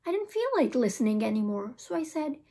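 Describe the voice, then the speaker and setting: A woman's voice: sits high, big pitch swings, a little fast, warm tone. A young woman reading a children's story aloud expressively, acting out the dialogue, indoors in a small room. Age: young adult.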